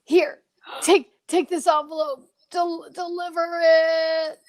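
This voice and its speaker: A woman's voice: very high voice, steady tone, slow pace. A woman playing a dying man in a radio drama, stammering out urgent broken phrases between gasps. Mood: weak, urgent. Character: dying man gasping out a last urgent plea. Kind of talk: old-time radio drama acting.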